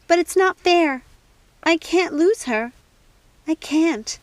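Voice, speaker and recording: A woman's voice: very high voice, animated, slow pace, dry recording, pitched above the performer's own voice, heavily acted. A woman voicing a distraught young woman in a storybook, pleading and defiant with urgent, impassioned delivery. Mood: pleading, bewildered.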